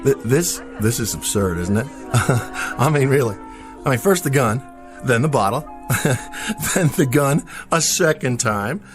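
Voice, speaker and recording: A man's voice: medium pitch, lively intonation, a little slow, music in the background, lightly acted. Man acting vain, petulant star, swooping delivery. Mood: indignant, incredulous. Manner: theatrical, self-pitying, condescending, sputtering. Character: vain, petulant male TV western star, self-styled professional. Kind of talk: audio drama acting.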